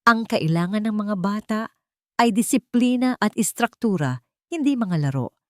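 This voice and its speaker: A woman's voice: medium pitch, animated, moderate pace, dry recording, studio quality, pitched above the performer's own voice, close to an everyday voice. A woman voicing a cold, haughty female therapist who speaks in a clipped, condescending way.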